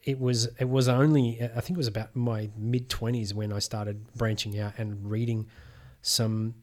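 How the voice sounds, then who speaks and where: A man's voice: on the low side, level tone, moderate pace, darkish tone. A man hosting a podcast in casual interview chat. He hesitates often, restarting phrases when he talks at length. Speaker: podcast host.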